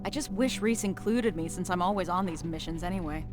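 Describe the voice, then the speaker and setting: A woman's voice: lowish voice, fairly quick, over music, close to an everyday voice. A woman voicing a frank, casual young female resistance soldier in a video game. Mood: frustrated, confiding. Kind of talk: naturalistic video game acting.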